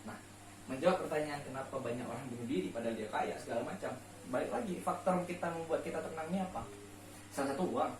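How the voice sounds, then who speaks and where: A man's voice: fairly high pitch, quick pace. Echoey, distant man explaining things. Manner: rhetorical questions, repeats key words for emphasis. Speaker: main speaker on a late-night discussion show. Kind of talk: casual explanatory chat on faith and life. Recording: roomy echo, distant mic, faint noise.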